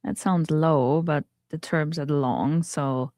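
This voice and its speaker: Woman on a money podcast, umms a lot, lowish voice, dry close sound. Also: steady tone, slow, a little mellow, no room sound. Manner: hesitant, thinking aloud. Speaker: co-host. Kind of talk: casual panel chat.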